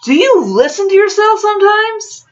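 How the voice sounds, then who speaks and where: A woman's voice: extremely high pitch, big pitch swings, moderate pace, voice pushed up. A woman voicing an angry, accusing man in a fiction reading, with a snappish, indignant manner. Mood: indignant anger. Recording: dull lo-fi audio.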